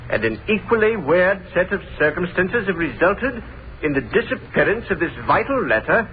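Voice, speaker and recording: An earnest defense solicitor making a formal plea to the jury. His voice is fairly high for a man. It comes through a thin, lightly hissy 1930s radio sound. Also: narrow, tinny audio. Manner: earnest, formal courtroom pleading.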